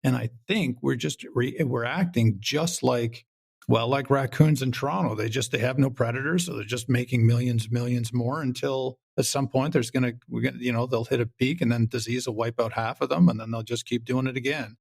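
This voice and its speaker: A man's voice: medium pitch, fairly quick, creaky, breathy edge. A man talking casually as an interview guest, wry and rambling, often acting out little quotes. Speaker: podcast guest, comedy show creator.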